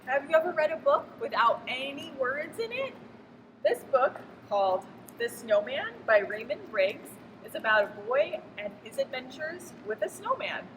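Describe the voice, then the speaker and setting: A woman's voice: sits high, big pitch swings, normal speed, fairly bright tone. A woman, a children's librarian, recommending picture books and addressing young readers directly. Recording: reverberant hall, clear background noise.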